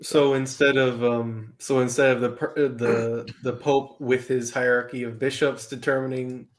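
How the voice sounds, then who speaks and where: A man in a casual group discussion presses his points with probing, challenging questions. His voice sits at an ordinary middle pitch. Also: flat delivery, normal speed. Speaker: podcast guest.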